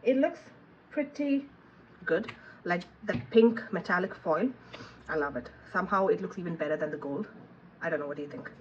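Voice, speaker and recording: A low-voiced woman, a little stuffy from a cold, chatting her way through an unboxing review. She thinks aloud, trails off and fills gaps with ums. The recording is muffled and lo-fi, with a faint hiss behind her. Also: normal speed. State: has a cold, sniffling. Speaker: planner reviewer.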